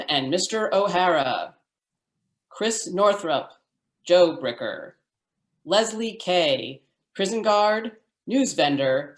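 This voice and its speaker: Lively, slow-paced woman announcer in an echoey room. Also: fairly low pitch. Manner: formal presentational delivery. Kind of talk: scripted old-time radio announcing. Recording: roomy, echoing sound.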